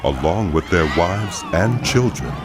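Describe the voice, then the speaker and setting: A man narrating a dramatized audio Bible in a measured, solemn way, with music behind. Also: low voice, lively intonation.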